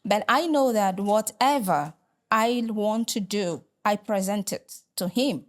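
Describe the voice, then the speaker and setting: A middle-aged Nigerian woman, Igbo her first language, a religious sister and lecturer answering interview questions in a measured, hesitant way, with many fillers and restarts. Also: mid-range voice, animated.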